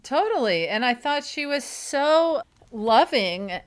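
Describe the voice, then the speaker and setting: A woman's voice: sits high, very animated, slow pace. A woman hosting a comedy podcast, chatting casually in a playful, teasing manner. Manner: playful, teasing, mock-defensive. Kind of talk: casual comedic chat about a documentary.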